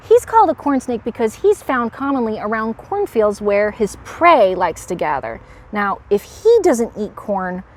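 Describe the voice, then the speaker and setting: A woman presenting as a park educator to children, with an animated manner, explaining and putting questions to young viewers. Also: mid-range voice, slight background noise. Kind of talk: animated educational read-aloud and animal demonstration.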